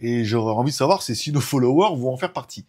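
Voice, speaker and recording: A man with a husky voice, chatting informally and ramblingly as host of a tech show. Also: mid-range voice, animated, fast, mellow. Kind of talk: casual tech chat.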